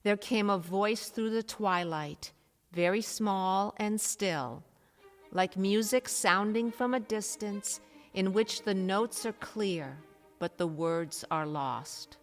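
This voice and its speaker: A woman's voice: mid-range voice, slow pace. A woman reading scripted dramatic narration as the narrator of a story. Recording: loud music behind the voice.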